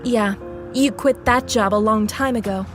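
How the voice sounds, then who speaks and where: Actress doing a bratty rebel sister, sarcastic n biting, radio play, light music under. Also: female voice, on the high side, measured pace, natural voice. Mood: bitter sarcasm. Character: defiant, foul-mouthed, sarcastic rebellious younger sister. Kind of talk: audio drama acting.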